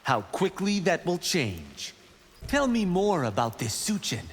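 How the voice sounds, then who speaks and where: An eager young warrior from a fighting game, boastful and boyish. His fairly high, animated voice is slightly rough and rings in long reverb. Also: a little slow, heavily acted. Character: brash, eager young male warrior monk with big ego. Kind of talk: video game pre-fight banter.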